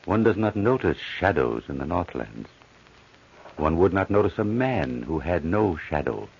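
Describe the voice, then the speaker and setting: A man acting as a grave, brooding narrator of an old radio horror drama, solemn and deliberate. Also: sits low, very animated, creaky, breathy edge, exaggerated voice. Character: grave, brooding male storyteller of horror tales. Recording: dull old radio recording.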